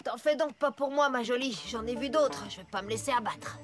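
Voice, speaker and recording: A woman's voice: on the high side, brisk, natural voice. A woman voicing a hardworking, stubborn, folksy young farm pony in a children's cartoon. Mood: stubborn, defiant. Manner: folksy, plain-spoken, headstrong. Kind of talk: French-dubbed children's cartoon acting. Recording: music bed behind the voice.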